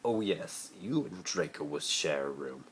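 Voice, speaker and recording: Man doing a very deep, sneering haughty father. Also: animated, a little slow. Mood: grudging. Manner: sneering, clipped. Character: sneering, cold, haughty middle-aged father. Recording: noisy amateur phone recording.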